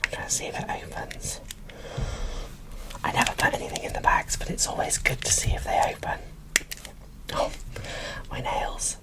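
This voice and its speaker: A woman's voice: normal speed, pauses often. A woman whispering an ASMR unboxing commentary in a chatty, informal, gushing way. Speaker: video creator presenting a doll unboxing.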